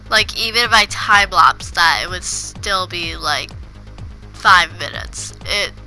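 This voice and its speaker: A woman's voice: medium pitch, sharp tone, rough recording. A woman with a cold, sounding congested, chatting casually over her gameplay and rambling with frequent restarts. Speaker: gaming video creator.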